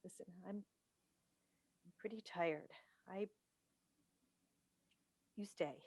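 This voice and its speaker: A woman playing a weary, strained mother and wife in a staged play reading, halting and guarded, heard over a video call. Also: medium pitch, a little mellow, no room sound. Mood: tired.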